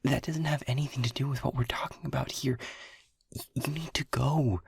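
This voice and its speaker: A man playing an anxious, protective young lab technician who stammers and keeps his voice to a hushed whisper. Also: mid-range voice, steady tone, a little fast, gravelly, a little hiss or hum, close to an everyday voice. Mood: urgent whisper. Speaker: audio roleplay voice actor. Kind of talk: audio roleplay acting.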